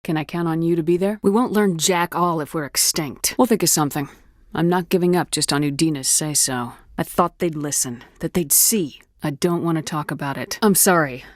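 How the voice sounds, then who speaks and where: Actress as tough female commander, lowish pitch, firm, dry wit, guarded, video game dialogue, very dry studio sound. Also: moderate pace, no echo, natural voice. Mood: grim, determined. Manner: firm, dry, wry delivery.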